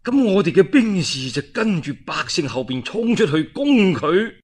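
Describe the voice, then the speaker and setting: A male storyteller voicing an envoy who soberly reports a battle plan in Cantonese, measured and low-key, within a historical tale. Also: very animated, breathy edge, full character voice. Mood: earnest, hushed.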